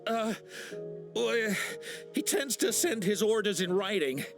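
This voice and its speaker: Stammering captured smuggler. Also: male voice, sits high, expressive, a little slow, soft background music. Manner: stammering, full of uh and nervous restarts. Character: nervous captured male smuggler, cowardly. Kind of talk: game cutscene voice acting.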